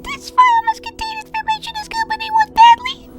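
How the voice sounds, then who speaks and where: A woman voicing an earnest, protective friend with a squeaky, childlike voice in a film drama dub. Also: flat delivery, fairly quick, loud music behind the voice. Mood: intrigued, explaining.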